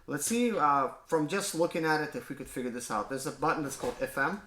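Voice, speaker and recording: Bright-voiced man, excited unboxing vlogger at home, echoey room with faint hiss. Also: a little high, quick pace. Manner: excited, exclaims often. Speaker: mobile mechanic YouTuber. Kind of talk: casual unboxing vlog. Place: indoors at home.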